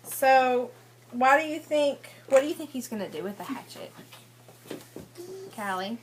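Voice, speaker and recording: A woman teacher leads a read-aloud for young children. Her voice sits a little high, and she moves between storybook narration and prompting questions. There is faint noise behind her. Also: lively intonation, unhurried. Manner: often repeats children's answers back, prompting them with questions. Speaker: teacher reading a picture book to young children. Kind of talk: interactive read-aloud lesson with questions and explanations.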